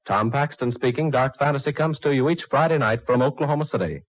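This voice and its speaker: A man announcing in formal, measured 1940s radio style, reading scripted credits. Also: mid-range voice, no room sound. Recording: dull, dry 1940s radio recording.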